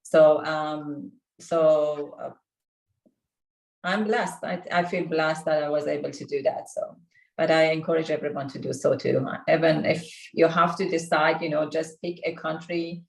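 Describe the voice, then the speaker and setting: A woman who grew up in Sweden, a guest on a video call interview, speaking earnestly in halting phrases with many restarts. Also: sits low, steady tone, creaky. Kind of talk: casual interview conversation. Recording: roomy echo.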